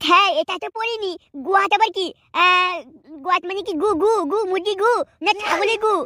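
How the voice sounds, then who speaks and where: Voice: very high-pitched, fairly even intonation, brisk, bright, forceful tone, dry recording, studio quality. A performer voicing a cheeky, boastful schoolboy in a cartoon, who whines when he is caught out. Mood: eager. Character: cheeky loud-mouthed schoolboy. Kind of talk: cartoon voice acting.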